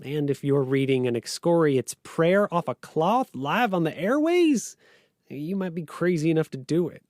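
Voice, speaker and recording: A man's voice: fairly high pitch, big pitch swings, normal speed, darkish tone, no room sound, studio quality. A man playing an urgent fantasy radio correspondent who passes on hot tips in a fictional broadcast.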